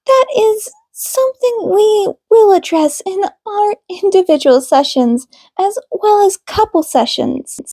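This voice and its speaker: A woman voicing a composed, professional female couples therapist in an audiobook, speaking in a formal, deliberate, probing way. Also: very high voice, lively intonation, mellow, no room sound, pitched above the performer's own voice. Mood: measured, professional. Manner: formal, deliberate, clinical phrasing. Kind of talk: audiobook character dialogue.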